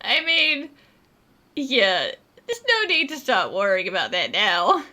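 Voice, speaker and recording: A woman's voice: mid-range voice, sing-song, slow, sharp tone. A woman giving casual, amused commentary while playing a video game. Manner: laughs often, amused reactions. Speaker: gamer commentating on playthrough.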